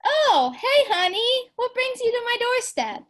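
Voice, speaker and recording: A grieving mother in an amateur audio drama, her voice soaring very high as she stammers and sobs, as a young woman acts her out. She swings from doting sweetness to fury. The tone is ringing and high. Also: sing-song, unhurried, a bit bright, exaggerated voice. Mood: sweet. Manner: stammering, sobbing, doting then furious. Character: frantic grieving mother, middle-aged woman.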